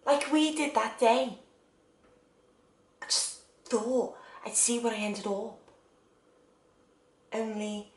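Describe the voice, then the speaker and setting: In an echoey room, an actress plays a lonely, wistful woman confiding in someone absent. Her stage monologue moves slowly and deliberately, in short phrases with pauses, at a middling pitch. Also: natural voice.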